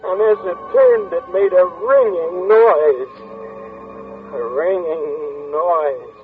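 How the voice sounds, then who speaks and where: A man's voice: sits high, slow. An actor playing an anxious young man haunted by a nightmare, narrating in tense, brooding first person in 1940s radio style. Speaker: narrator and lead character. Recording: tinny old radio broadcast with music.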